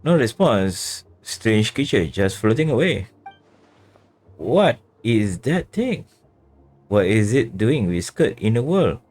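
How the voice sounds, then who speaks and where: A man's voice: on the low side, big pitch swings, slow pace, darkish tone. A man musing aloud in casual commentary as he streams a game. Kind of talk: casual reaction commentary over gameplay. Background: game music.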